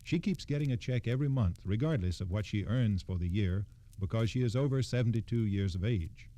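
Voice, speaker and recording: A man's voice: on the low side, a little fast, mellow, dry recording. A man giving formal, explanatory scripted narration in the manner of 1960s radio. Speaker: radio storyteller.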